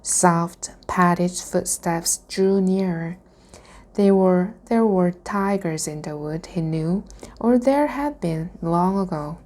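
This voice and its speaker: A woman reading a children's storybook aloud in a deliberate way. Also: lowish voice, darkish tone. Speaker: reader practicing reading aloud.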